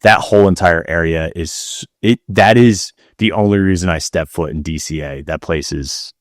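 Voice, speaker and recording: A man with a low voice chats casually as a podcast co-host. He is slangy and blunt, putting weight on his opinions. The recording is very dry. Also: moderate pace, dry recording. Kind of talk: casual chat.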